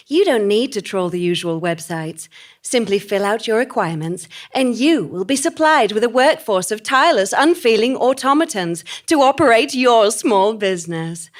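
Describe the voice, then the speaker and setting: A woman announcer reading a scripted spoof radio advert as a polished sales pitch.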